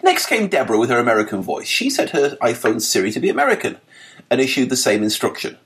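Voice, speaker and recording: A man narrating a written article for a podcast, reading with a lively, articulate delivery and dry wit. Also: mid-range voice, fast talker.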